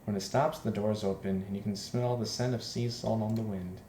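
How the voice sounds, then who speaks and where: A man reading a visual novel aloud as a let's player, breaking in with loud, repetitive joking reactions. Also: fairly low pitch, fairly even intonation, moderate pace. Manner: exclaims loudly and repeats himself in reaction. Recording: roomy echo, faint background noise.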